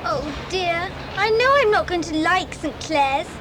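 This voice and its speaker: A woman's voice: very high voice, animated, a little slow, background noise. A woman playing a plaintive, stuck-up and anxious schoolgirl, bleating away in a children's audio drama. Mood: fretful, whining.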